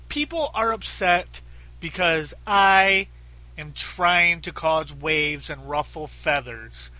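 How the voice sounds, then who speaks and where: A man in his thirties hosts a solo podcast with a very nasal, bright, sharp-edged voice that sits a little high for a man. He talks bluntly, swears freely and rambles with lots of fillers. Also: poor audio. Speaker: podcast host. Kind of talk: casual solo podcast talk.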